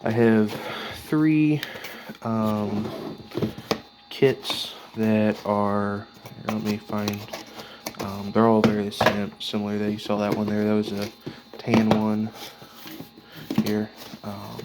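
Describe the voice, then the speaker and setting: A man giving a casual, unscripted show-and-tell of his gear as a hobbyist, speaking in a halting, piecemeal way as he handles items. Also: fairly low pitch, level tone. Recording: clearly noisy background with clicks.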